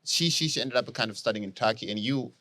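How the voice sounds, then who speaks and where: Animated male host, stammering questions. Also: mid-range voice, fast. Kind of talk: casual interview chat.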